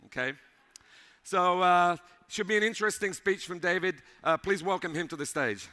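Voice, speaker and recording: A man emceeing an event and introducing the keynote speaker, halting with many fillers, in a large hall. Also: sits high, bright, forceful tone, slight background noise. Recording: live mic in a large hall with long reverb.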